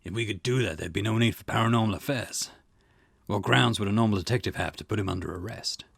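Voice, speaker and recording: Guy doing a gruff old-hand detective boss for a game, wry n matter-of-fact, husky low voice, real dry close sound. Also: fast talker, fairly bright tone, no echo, heavily acted. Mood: grim, measured. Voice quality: gravelly, husky. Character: seasoned male detective boss, brusque and wry. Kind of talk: reading visual novel dialogue in character.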